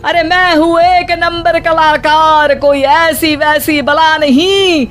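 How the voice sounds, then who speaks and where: A woman's voice: sits high, fairly even intonation, slow pace, a bit bright. A woman playing a boastful elderly mother-in-law who declaims in rhyme for a cartoon moral story. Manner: pompous, declamatory rhyming. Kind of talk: animated moral story dialogue in verse. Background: background music.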